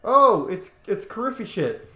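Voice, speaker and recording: Casual male game streamer, opinionated and profane, lively intonation, echoey recording. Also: high-pitched, a little slow, faint music behind. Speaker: gaming streamer. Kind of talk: casual gameplay commentary. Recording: echoey room, faint background noise.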